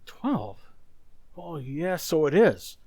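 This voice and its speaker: A man playing a confused, forgetful, apologetic husband with halting speech in a radio mystery. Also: on the high side, big pitch swings, warm tone, no room sound, full character voice. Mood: bewildered. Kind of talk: old radio mystery acting.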